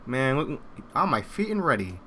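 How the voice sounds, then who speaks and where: A game streamer with a bright, very animated voice gives casual live commentary. The talk is joking and foul-mouthed, with slight noise behind it. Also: mid-range voice, moderate pace.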